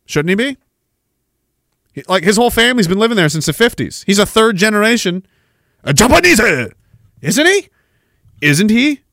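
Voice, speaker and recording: A Canadian man ranting on his podcast, firing off sarcastic rhetorical questions. His voice sits a little high, and the sound is dry and close to the mic. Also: very animated, normal speed, long pauses, a bit bright, dry recording. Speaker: podcast host. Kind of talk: ranting commentary over a played clip.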